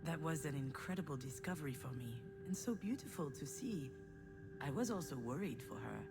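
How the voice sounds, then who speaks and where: An actress playing an introspective, wounded adult woman, a protective older sister, narrating her thoughts in a confiding, dramatic way. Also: sits low. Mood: reflective. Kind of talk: introspective scripted game narration. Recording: music under the voice.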